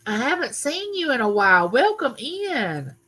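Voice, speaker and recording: An older woman, hosting a casual live chat from her home, talking loudly and chattily and greeting viewers by name. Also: sits high, very animated, moderate pace. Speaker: livestream host. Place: home room used for filming.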